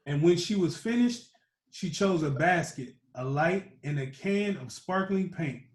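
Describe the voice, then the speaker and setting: Higher-voiced man reading aloud, roomy echo. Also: lively intonation, measured pace. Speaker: storytime reader. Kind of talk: reading a children's picture book aloud.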